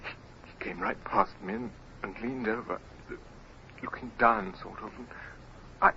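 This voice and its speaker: An actor playing an exhausted, guilt-ridden young sailor in a 1950s radio drama, halting and stammering, with a breathy, breaking voice. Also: male voice, medium pitch, huge pitch swings, heavily acted. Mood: anguished confession. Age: young adult. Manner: halting, stammering, repeating words. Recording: muffled, noisy vintage radio recording.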